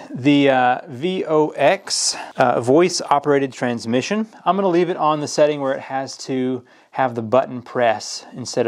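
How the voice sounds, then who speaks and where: A man with a mid-pitched voice talks through a product demonstration. He explains each step plainly in a casual, practical way, as a reviewer showing how something works. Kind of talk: casual hands-on product walkthrough. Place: indoors at a work table.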